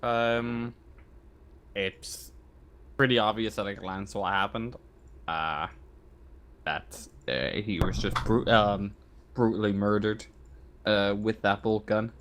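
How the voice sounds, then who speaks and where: A man casually running a tabletop roleplaying game as game master, speaking in a halting, informal way. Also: fairly low pitch, fairly even intonation, fairly bright tone. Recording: faint background noise.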